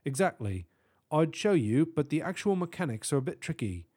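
A man performs a small, frail professor of middle age with a British accent. The voice sits somewhat high, with a fussy, prim delivery and a sly streak. It suits a comic fantasy storybook. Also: big pitch swings, normal speed, darkish tone, husky. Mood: smug explaining.

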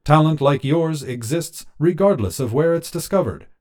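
A man voicing a pleasant but pushy male AI app in a story, excited and salesy. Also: a little high, normal speed, voice pushed up, close to an everyday voice. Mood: excited, persuasive. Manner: excited, salesy, smooth-talking.

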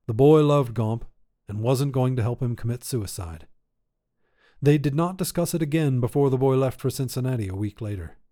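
A man's voice: medium pitch, normal speed, warm tone. Man narrating short fiction. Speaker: podcast narrator and host. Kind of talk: scripted story narration.

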